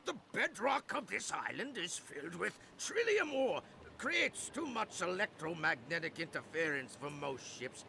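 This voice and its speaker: Creaky, high-pitched crotchety old man. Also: big pitch swings, unhurried, hardly any pauses, sharp tone, a little hiss or hum, full character voice. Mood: knowing, folksy. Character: crotchety, knowing old male island elder. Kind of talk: video game cutscene acting.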